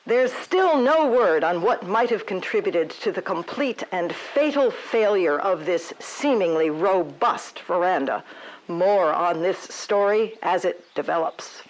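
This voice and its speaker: A woman's voice: fairly low pitch, animated, unhurried, poor audio. Solemn local newswoman played by an actress. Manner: formal, sympathetic, clearly announced. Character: earnest, solemn female local TV news reporter. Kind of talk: news report parody in audio drama.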